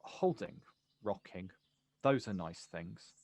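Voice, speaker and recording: An actor playing a guarded but kind poet in a stage play, questioning and prone to stammer when unsure. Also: male voice, low-pitched, lively intonation, slow pace, vocal fry, darkish tone, no room sound, heavily acted. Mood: amused, gentle. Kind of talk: stage play acting, quiet two-hander.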